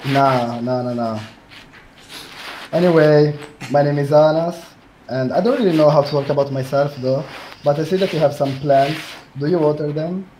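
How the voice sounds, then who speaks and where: A man's voice: on the high side, moderate pace, dark tone, slight background noise, low-quality recording. A young man chatting as a speed date contestant, laid-back and hesitant, with many fillers. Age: young adult. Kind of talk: casual chat. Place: indoor room. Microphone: headset on a video call.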